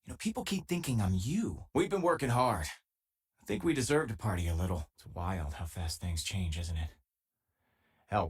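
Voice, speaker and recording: A man playing a loyal, easygoing former miner and spaceship crewmate in a video game, with a husky, casual, wry delivery. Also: fairly low pitch. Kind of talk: video game companion dialogue.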